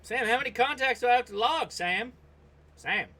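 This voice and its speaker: A man hosting a hobby livestream, chatting casually and reacting aloud in an excitable way, often addressing people by name. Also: very high voice, moderate pace. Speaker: host of a ham radio livestream. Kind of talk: casual livestream chat and running commentary while operating radio.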